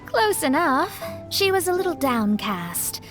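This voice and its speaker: High-voiced, poised young heroine. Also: female voice, big pitch swings, slow pace, over music, full character voice. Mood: concerned. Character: Mona, composed, self-assured young woman companion. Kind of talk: anime game voice acting.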